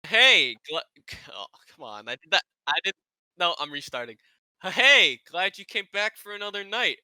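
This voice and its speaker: An upbeat, excitable male phone guide for a game. His voice is very bright and crisp, with animated pitch. He stumbles eagerly through his words. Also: moderate pace, dry recording, full character voice. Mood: eager, flustered.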